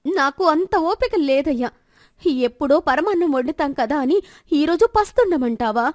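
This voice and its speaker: A woman voicing a bitter, sharp-tongued village wife who complains and scolds her husband in a dramatized moral story. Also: sits high, expressive, moderate pace, dry recording, studio quality. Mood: scornful, angry. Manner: scornful, complaining, rhetorical questions.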